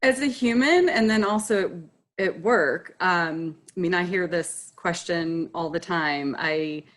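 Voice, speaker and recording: A woman presenting to an online group in an animated, conversational way, laughing easily. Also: on the low side, a little slow. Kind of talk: informal explainer talk.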